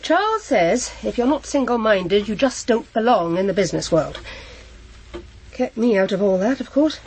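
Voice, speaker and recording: A proper middle-aged housewife, newly widowed, in a radio crime drama. Her fairly high voice is halting and flustered, circling back over her own words in bewilderment. There is faint noise behind her. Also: very animated, a little mellow, poor audio. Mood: dazed, rambling. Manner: halting, flustered, repeats herself. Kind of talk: naturalistic radio drama acting.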